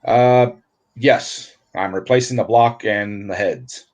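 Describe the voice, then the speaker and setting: Low-voiced man talking engines. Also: takes it slowly. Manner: relaxed, explains engine work with many fillers. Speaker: guest on livestream. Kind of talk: casual technical chat.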